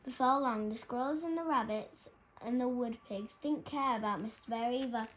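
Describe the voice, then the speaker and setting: A woman's voice: on the high side, normal speed. A woman reading a children's storybook aloud as its narrator. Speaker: storybook reader. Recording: clearly noisy background.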